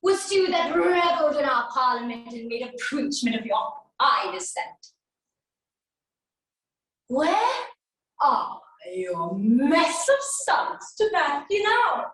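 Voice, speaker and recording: A woman playing a vengeful, mocking warrior queen in a classical Shakespearean stage monologue, with theatrical, taunting, drawn-out delivery. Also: sits high, very animated, fairly bright tone, heavily acted. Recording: echoey room, distant microphone.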